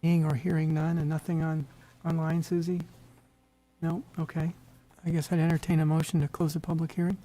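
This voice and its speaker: Man, American, slightly hoarse, even pitch, soft dark tone, informal halting meeting chair. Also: low-quality recording. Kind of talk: presiding over a public board meeting. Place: town board meeting room.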